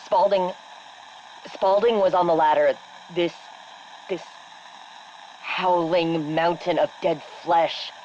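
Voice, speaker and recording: A woman playing a shaken, sardonic army helicopter captain, giving a halting, bitter debrief for a game audio log. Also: on the low side, steady tone, a little mellow, muffled recording, a little hiss or hum. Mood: distressed. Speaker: military helicopter exfil pilot.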